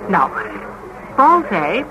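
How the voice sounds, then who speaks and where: A woman's voice: mid-range voice, big pitch swings, extremely slow, noisy background. Blunt, teasing Dutch woman. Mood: amused teasing. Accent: Netherlands Dutch. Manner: bantering, blunt, mocking. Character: sharp-witted, teasing woman, confidante of a police detective. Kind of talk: naturalistic radio drama dialogue.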